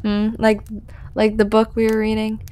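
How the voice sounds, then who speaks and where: A performer voicing a shy, hooded male storyteller, centuries old, who speaks softly with lots of hesitant stammering and whispers in an audio roleplay. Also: medium pitch, completely flat delivery, normal speed, clean tone, lightly acted. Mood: bashful. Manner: hesitant and stammering, many ums and restarts; soft-spoken, often whispering.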